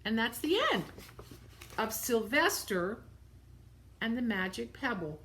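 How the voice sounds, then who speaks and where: A woman's voice: fairly high pitch, expressive, slow pace, fairly bright tone, slight background noise. A woman reading a picture book aloud to children, expressively, with simple explanatory asides about the pictures.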